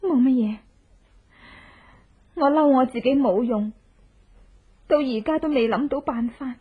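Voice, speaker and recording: An actress with a Hong Kong Cantonese accent plays a determined, desperate widow bent on revenge in an intense, melodramatic horror radio drama. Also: female voice, sits high, unhurried, slightly rough. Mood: urgent, eager. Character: vengeful widow in hiding, determined, desperate, posing as beggar. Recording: muffled lo-fi radio drama recording.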